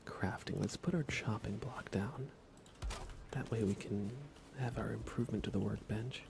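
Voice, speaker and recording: A man softly thinking aloud in an unhurried, whispered ASMR style as he narrates a video game livestream. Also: fairly low pitch, steady tone, gravelly, noisy background. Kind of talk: ASMR gaming commentary, whispered and soft-spoken.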